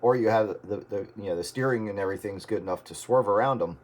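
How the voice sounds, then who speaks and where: A man's voice: fairly low pitch, fast, a little mellow. A man chatting casually over the radio. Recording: clearly noisy radio transmission.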